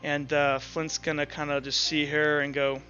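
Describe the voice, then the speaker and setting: A man narrating a tabletop roleplaying game as game master, improvising haltingly as he goes. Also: fairly high pitch, little pitch movement, bright, forceful tone. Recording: dull lo-fi audio under music.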